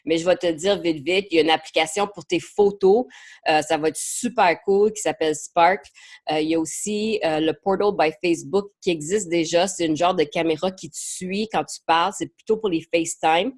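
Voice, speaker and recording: A woman's voice: fairly low pitch, normal speed, a little mellow. Chatty English Canadian woman coaching. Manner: chatty, mixes English words into her French; many hesitations and repeated words. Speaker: marketing coach. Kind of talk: live online training session.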